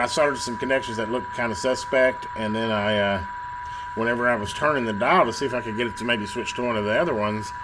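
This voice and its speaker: A man talking casually through a hands-on repair as a technician, folksy and thinking aloud at his own unhurried rate. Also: fairly low pitch. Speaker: radio repair technician and video host. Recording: loud electrical hum and background noise.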